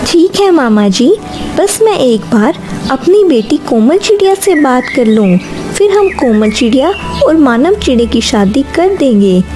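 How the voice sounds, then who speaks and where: A woman's voice: high voice, big pitch swings, unhurried, over music. A woman voicing a caring, eager middle-aged mother bird in a cartoon moral story, speaking in an earnest, coaxing, polite way.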